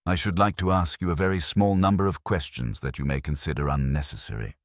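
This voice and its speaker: Observant, dry-witted detective for a mystery story man narrating the part, low voice, polite understatement, close dry sound. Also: fairly even intonation, brisk, no echo.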